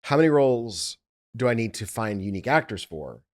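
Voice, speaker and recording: A man's voice: medium pitch, big pitch swings, a little fast. A man, an audiobook producer, talking casually and explaining his work step by step.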